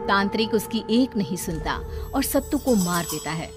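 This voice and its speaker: A woman's voice: medium pitch, animated, moderate pace. Woman narrating a story over music.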